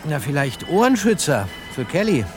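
A man's voice: fairly high pitch, sing-song, normal speed. A man playing a chatty, teasing young detective in an audio drama, playfully stretching words for emphasis. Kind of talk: German audio drama dialogue. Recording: music behind the voice.

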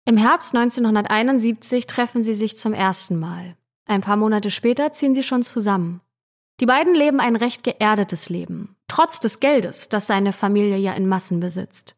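A woman's voice: mid-range voice, normal speed. A woman co-hosts a true-crime podcast, telling a scripted story in a conversational way that addresses listeners. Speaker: podcast co-host.

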